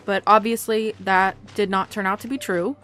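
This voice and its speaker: A woman's voice: mid-range voice, fairly even intonation, moderate pace. A young woman giving casual, chatty, rambling let's-play commentary as a gaming YouTuber.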